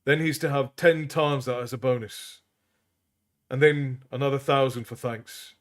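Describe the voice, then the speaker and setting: A man voicing a composed, authoritative prince who speaks calmly and low. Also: unhurried, close to an everyday voice. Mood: commanding. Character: composed prince, commanding and wry.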